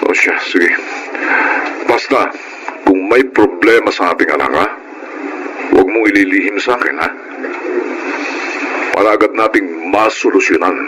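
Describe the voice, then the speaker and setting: A man's voice: low voice, a little slow, pauses often, very noisy. An actor playing a strict, middle-aged Filipino father who lectures emphatically in a radio drama. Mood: firm, concerned. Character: strict, angry Filipino father scolding his family.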